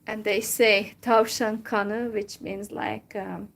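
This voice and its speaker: A young woman from Central Europe chatting casually to her viewers in a halting way, with many fillers and restarts. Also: medium pitch, level tone, creaky. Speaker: vlogger. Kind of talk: casual solo chat to viewers.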